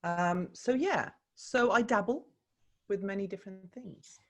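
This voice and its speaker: A woman's voice: medium pitch, unhurried. A woman from Sweden, a native Swedish speaker, talks in English as a guest in a video call interview. She speaks thoughtfully, with many ums and restarts. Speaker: actor and voice coach, interview guest. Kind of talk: relaxed conversational interview.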